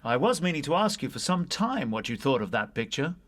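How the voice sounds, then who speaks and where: A man voicing a courteous, curious, educated gentleman scholar in an audiobook, with polite and easy speech. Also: mid-range voice, big pitch swings, fairly quick. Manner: polite, easy, well-spoken. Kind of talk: audiobook character voice.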